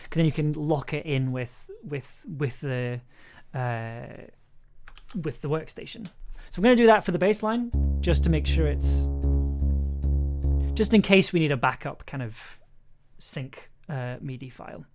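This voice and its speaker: Music streamer, medium pitch, playful and enthusiastic, rambly casual chat w music behind. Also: animated, unhurried. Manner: rambling, thinking aloud. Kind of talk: casual livestream chat while making music. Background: synth music and keyboard clicks.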